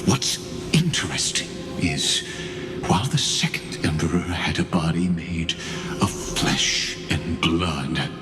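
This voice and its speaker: An actor playing an ancient, coldly patient undead machine mastermind in a video game, with a deliberate, theatrical delivery. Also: male voice, sits low, lively intonation. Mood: cold, musing. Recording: echoing hall reverb under music.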